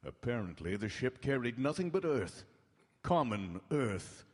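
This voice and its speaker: Slow, ominous gothic storyteller. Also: male voice, mid-range voice, very animated, husky. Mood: ominous, suspenseful. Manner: deliberate, suspenseful, long pauses. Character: solemn, ominous storyteller of a gothic horror tale. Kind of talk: dramatic scripted radio narration. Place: town hall stage. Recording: echoey live hall.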